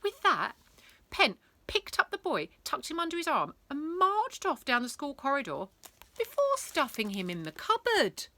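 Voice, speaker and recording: A woman reading a children's bedtime story aloud in a theatrical, expressive way, lingering on words. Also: sits high, normal speed, no echo.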